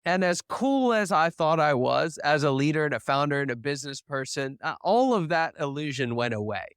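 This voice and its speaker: A man recounts his own story in a candid, reflective way. His voice sits a little higher than most men's, and it sounds close and dry with no room echo. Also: expressive, brisk, fairly bright tone, clean tone. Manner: earnest and reflective, often adds "right?". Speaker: podcast guest, business founder.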